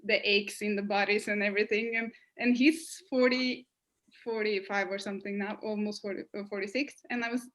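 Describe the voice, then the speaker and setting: A woman's voice: mid-range voice, fairly even intonation, fairly quick, fairly bright tone. A woman hosting a webinar, chatty and rambling with restarts. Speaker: webinar host. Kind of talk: casual chat. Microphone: video call.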